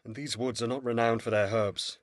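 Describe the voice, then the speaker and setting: A man's voice: mid-range voice, fairly even intonation, brisk, no room sound, clean studio sound, lightly acted. A man playing a concerned, practical ranger companion, earnest and worried, in an amateur fantasy audio play.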